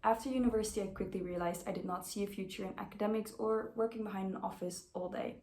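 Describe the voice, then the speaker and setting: A woman's voice: mid-range voice, fairly even intonation, fast talker, darkish tone, slight background noise. A woman in her twenties from the Netherlands, speaking English, giving an earnest, conversational self-introduction. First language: Dutch. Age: 20s. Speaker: au pair applicant. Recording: roomy echo.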